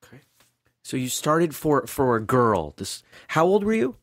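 A man's voice: mid-range voice, big pitch swings, measured pace, a bit bright, no echo, clean studio sound. A man hosting a martial arts interview podcast, chatty and friendly, often repeating words as he starts a sentence. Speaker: podcast host. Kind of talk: casual interview.

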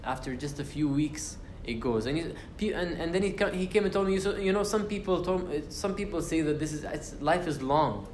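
A man's voice: a little high, animated, brisk, few pauses, darkish tone, echoey room, a little hiss or hum. A young man giving an informal class on the Quran, talking casually with fillers and restarts and reciting Arabic verses. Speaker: Quran study teacher. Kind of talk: informal tafsir class talk. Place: university lecture room.

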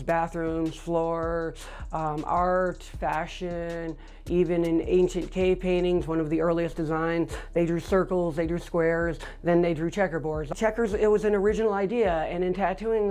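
High-voiced middle-aged man, matter-of-fact. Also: level tone, measured pace, a little mellow, clear, resonant tone. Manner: plain, matter-of-fact, with frequent fillers. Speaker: record holder. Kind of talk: interview monologue. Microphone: lapel.

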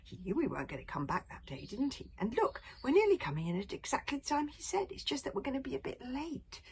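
A woman's voice: high-pitched, very animated, fast talker, breathy edge, voice pushed up, full character voice. A woman voicing an anxious, determined young Viking boy who speaks insistently. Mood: worried.

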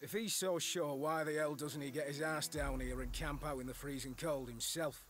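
A man's voice: a little high, steady tone, normal speed, fairly bright tone, a little hiss or hum, lightly acted. A man playing a sceptical, fed-up camp guard in a video game, complaining sarcastically. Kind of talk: video game cutscene acting.

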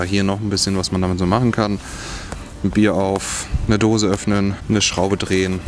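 A young man giving a casual hands-on review of outdoor gear, outdoors in a bare forest, thinking aloud as he tries things out. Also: fairly low pitch.

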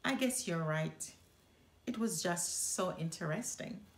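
Woman reading as a chatty, animated papa bear. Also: on the low side, slow pace, slight background noise, pitched below the performer's own voice. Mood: sheepish. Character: grown father bear, chatty and eager to gossip. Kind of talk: children's storybook read-aloud. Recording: roomy indoor echo.

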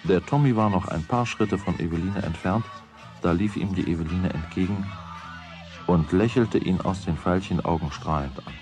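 A middle-aged man narrating a children's radio play as a calm storyteller. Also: low voice, normal speed, music in the background, natural voice. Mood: calm narration.

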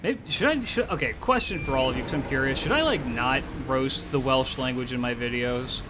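A man's voice: medium pitch, expressive, a little fast. A man streaming a video game live, chatting loosely with his viewers, swearing and talking back at the game. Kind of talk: live gameplay commentary. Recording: clear game noise behind.

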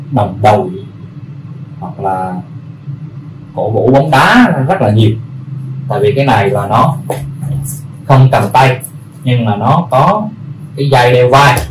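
A man presenting products in an unscripted demonstration, explaining in a halting, repetitive way as he searches for words. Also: on the low side. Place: indoor room full of audio equipment. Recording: roomy, distant mic, faint background noise.

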